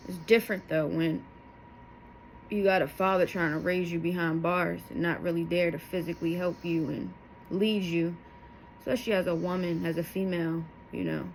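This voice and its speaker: A woman's voice: on the low side, steady tone, moderate pace, a little hiss or hum, poor audio. A woman of thirty telling her life story to her viewers, candid and emotional, rambling with asides as she goes. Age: 30s. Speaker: vlogger. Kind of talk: personal testimony to camera.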